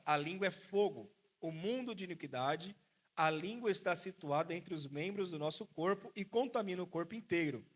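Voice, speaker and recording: Higher-voiced preacher teaching. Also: male voice. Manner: explanatory, asks rhetorical questions, retells a parable. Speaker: preacher leading a Bible study. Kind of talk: Bible study teaching with storytelling.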